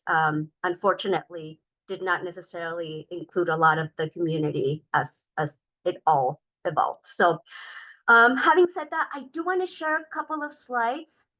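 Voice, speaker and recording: A middle-aged woman with a bright, forceful voice speaks earnestly and at length. She pauses often with ums and uhs while introducing herself to a community group. The sound is dull and lo-fi, like a recorded meeting. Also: on the low side. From Denver, Colorado. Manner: earnest, talkative, many fillers. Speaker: state transportation commissioner.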